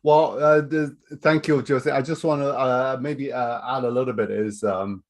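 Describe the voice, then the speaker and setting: A man's voice: mid-range voice, steady tone, moderate pace. A middle-aged man from Canada hosting a live webinar Q and A, talking loosely with lots of fillers and restarts. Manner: rambling, many fillers and restarts. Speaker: webinar host.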